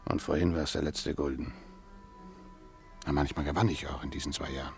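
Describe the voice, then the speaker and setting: A brooding, ruined gambler in a radio play. His voice is low and creaky, halting and musing, with resigned, bitter irony. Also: fairly even intonation, faint music behind. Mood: bitter reminiscing. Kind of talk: German radio drama acting.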